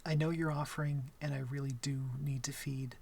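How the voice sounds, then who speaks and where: A man playing a shy, awkward young vampire in an intimate ASMR roleplay, gentle and smitten, speaking softly and hesitantly with stammers and apologies. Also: medium pitch, flat delivery, normal speed, vocal fry. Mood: nervous, earnest.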